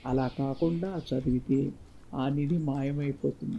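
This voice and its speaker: A male storyteller voicing an earnest, solemn father who gives measured counsel to his son in a moral tale. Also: mid-range voice, steady tone, creaky, warm tone. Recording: muffled lo-fi, faint background noise.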